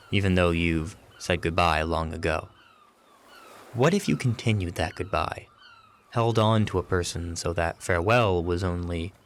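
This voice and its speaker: A man calmly introducing tonight's story as a radio host, in measured scripted narration. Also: low-pitched, slight background noise. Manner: slow, calm, measured delivery.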